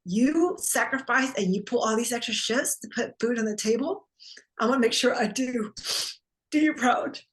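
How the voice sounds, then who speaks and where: A woman's voice: on the high side, moderate pace, rough recording. A woman whose first language is Vietnamese, speaking earnestly as an optometrist guest in an interview. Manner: earnest, determined, tells personal story. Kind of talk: conversational interview answers.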